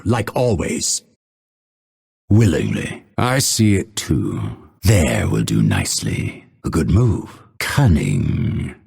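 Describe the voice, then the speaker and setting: A solemn male assassin from a video game, with a low, husky voice. He speaks slowly and deliberately, swinging widely in pitch, with a dry wit and cold menace. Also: a little mellow, exaggerated voice. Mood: solemn, devoted. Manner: measured, deliberate, dryly sardonic delivery. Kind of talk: scripted game character voice lines.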